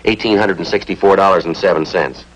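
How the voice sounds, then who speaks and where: An actor playing a stern, methodical American police sergeant in a 1960s TV procedural, with a clipped, deadpan, matter-of-fact delivery. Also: male voice, low voice, fast, highs cut off. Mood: firm, relentless. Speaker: actor in a television police drama.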